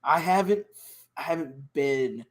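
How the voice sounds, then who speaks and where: A man with a raspy, hoarse voice co-hosts a sports podcast. He sits slightly higher in pitch and tells his stories in an eager, rambling way, stumbling over his words. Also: a little slow. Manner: excited, rambling, restarts a lot. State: hoarse from cheering. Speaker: podcast co-host. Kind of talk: casual sports podcast chat.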